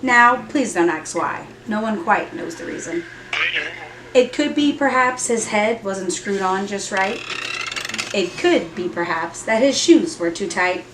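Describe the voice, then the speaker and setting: A woman's voice: mid-range voice, expressive. A woman reading a children's story aloud expressively, with dramatic emphasis. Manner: dramatic emphasis and drawn-out repetition. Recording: echoey room, music and effects behind. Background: music and sound effects from an app.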